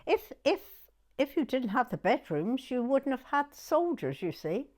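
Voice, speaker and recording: A woman in her nineties from Dorset with a southern English accent, reminiscing in an interview in a hesitant, halting way and repeating words. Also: sits high, very animated, darkish tone. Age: 90s. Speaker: oral history interviewee.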